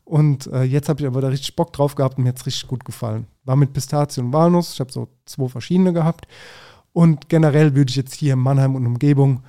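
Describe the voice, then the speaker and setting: A man with a mellow, soft-edged voice in casual podcast conversation. His pitch sits in an ordinary male range. He talks informally, mixing in slang and English expressions. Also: quick pace. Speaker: food podcast co-host.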